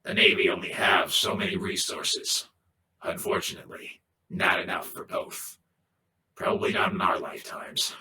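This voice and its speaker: Man acting low, distant, persuasive schemer. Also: steady tone, moderate pace. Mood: persuasive, grave. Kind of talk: slow, dramatic game cutscene dialogue. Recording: distant, roomy, faint background noise.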